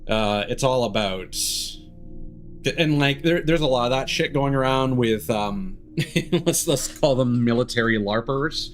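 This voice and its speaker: A man chats casually as he streams a video game. His voice sits at an average pitch, and he rambles along with the odd swear word. Music plays behind him. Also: unhurried.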